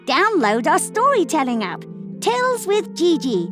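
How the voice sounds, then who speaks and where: A woman's voice: very high-pitched, very animated, unhurried, soft background music. A woman presenter reading a scripted promotional outro aimed at children. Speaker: presenter promoting a story app.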